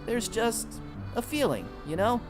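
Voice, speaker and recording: Earnest young mecha pilot over music. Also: male voice, very high-pitched, big pitch swings, measured pace, full character voice. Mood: sincere. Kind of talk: video game voice acting. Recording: music under the voice.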